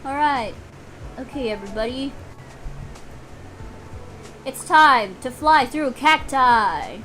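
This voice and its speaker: A woman's voice: high-pitched, expressive, takes it slowly, a bit bright, faint music behind. A woman doing casual live gameplay commentary in a playful, exclamatory way, swearing freely. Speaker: game streamer.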